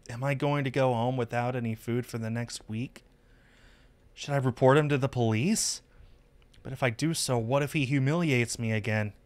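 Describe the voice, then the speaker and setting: A man reading a visual novel aloud as expressive first-person narration on a stream. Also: lowish voice.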